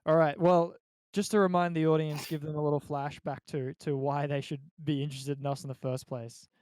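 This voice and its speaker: A man's voice: a little high, little pitch movement, fairly quick, a little mellow, slight background noise. A young man with an Australian accent hosting a casual group podcast chat. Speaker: podcast host.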